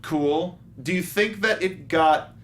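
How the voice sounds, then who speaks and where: A man hosting a review show, talking casually and haltingly in a geeky, informal way. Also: fairly high pitch, fairly bright tone. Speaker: host of a film review show. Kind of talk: casual panel discussion.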